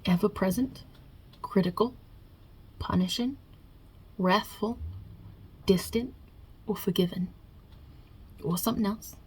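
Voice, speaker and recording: Husky woman playing a wisecracking mob-boss God. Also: medium pitch, slow pace, pauses often, poor audio. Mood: reading out questions. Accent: put-on Italian-American New York. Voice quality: husky, breathy. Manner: casual, wry, chatty, with softly murmured asides. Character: non-binary God as a wisecracking, touchy Italian mob boss. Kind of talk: ASMR roleplay.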